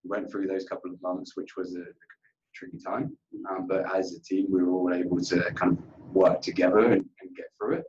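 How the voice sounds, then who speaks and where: A man's voice: low-pitched, flat delivery, a little fast, a little mellow, highs cut off. A man answering questions in a workplace interview, earnest and conversational, often repeating himself and padding with "you know". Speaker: account manager being interviewed.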